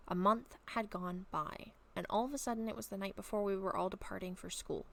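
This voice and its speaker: A woman chatting casually and reading her own story aloud at home, a little raspy from a long talking session, rambling with many soft ums. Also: mid-range voice, fairly even intonation, a little fast. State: slightly raspy throat. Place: at home, bedroom.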